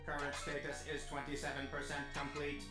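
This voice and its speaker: A man voicing a pedantic, deadpan robot servant in a video game, speaking formally. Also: a little high, fairly quick, close to an everyday voice. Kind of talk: video game cutscene acting. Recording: echoey, loud music over the voice.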